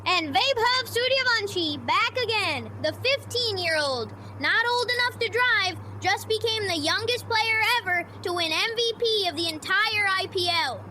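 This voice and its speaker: A woman's voice: very high-pitched, noisy background. A woman sports correspondent giving dramatic, opinionated commentary with hyperbolic delivery.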